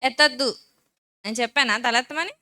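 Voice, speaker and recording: A woman's voice: high-pitched, a little fast, sharp tone, smooth. A woman, a Sunday school teacher instructing and leading children firmly in short phrases.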